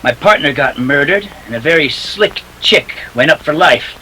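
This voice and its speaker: An actor playing a hard-boiled, wry private detective in a 1940s-style radio play, with clipped, slangy, sardonic delivery. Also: male voice, a little high, expressive, normal speed, very noisy, natural voice. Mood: matter-of-fact, wry. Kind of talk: 1940s radio noir acting.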